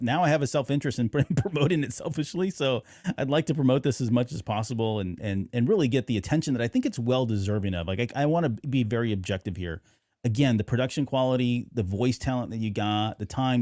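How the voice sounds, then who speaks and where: A man's voice: mid-range voice, darkish tone, no room sound, clean studio sound. A man hosting a podcast in casual conversation, with an agreeable manner toward his guest. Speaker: podcast host. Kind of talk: casual interview chat.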